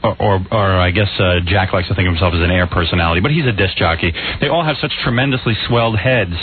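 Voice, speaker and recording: Fields: Sex: man. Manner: smug, fast. Sound: tinny radio. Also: deep voice, husky. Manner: smug, mocking. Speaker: radio show host. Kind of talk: mocking radio banter. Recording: tinny phone-like radio audio.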